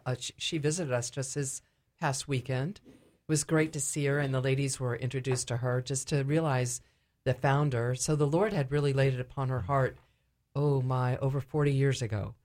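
A woman speaking as a ministry director and guest in a conversational radio interview, explaining things a little haltingly. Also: a bass voice, a little mellow.

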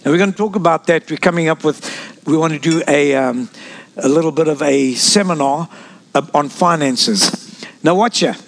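A man's voice: on the high side, moderate pace, gravelly. A man born in South Africa preaching a sermon as pastor, sprinkling amens and asides to the congregation.

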